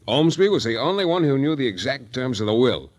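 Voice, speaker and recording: An actor playing a wry, terse, flirtatious hardboiled private detective in a 1940s radio drama. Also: male voice, medium pitch, very animated, brisk. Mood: grave, explaining. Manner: wry, terse tough-guy patter. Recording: 1940s radio recording with music.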